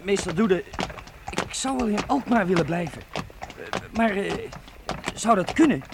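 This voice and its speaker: A man's voice: high voice, expressive, measured pace. An actor playing an eager, respectful medieval boy in a radio play, polite and a little hesitant. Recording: noisy old radio play recording.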